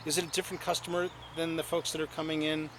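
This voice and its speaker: A middle-aged man hosts a friendly interview, talking in an animated, chatty way and laughing often. His voice sits at an ordinary pitch, with faint room noise behind it. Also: quick pace. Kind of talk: casual interview.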